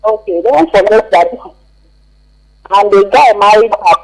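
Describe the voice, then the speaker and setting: A Nigerian-accented man with a distinctly high voice gives his opinion as a radio caller. His delivery is unscripted and rambling, with halting, repeated phrases. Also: expressive, rough recording.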